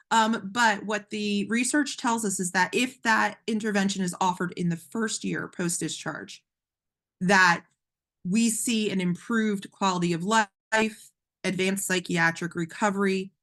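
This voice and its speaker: A woman presenting a webinar in a conversational, explanatory way, her voice a little hoarse, with frequent ums and restarts. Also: medium pitch, fairly bright tone. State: slightly hoarse, losing voice.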